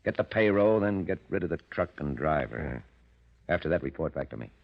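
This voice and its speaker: An actor playing a cagey, self-assured gang boss in the style of 1940s radio crime drama. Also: male voice, very deep voice, expressive, a little fast, vocal fry, full character voice. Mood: confident, scheming. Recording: dull, lo-fi old radio recording.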